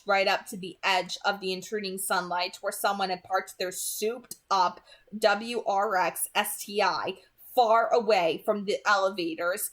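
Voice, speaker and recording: A woman's voice: mid-range voice, fairly bright tone. A woman reading a novel aloud as an amateur narrator, dramatically and in the first person. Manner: stumbles over words and rereads phrases. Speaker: amateur audiobook reader.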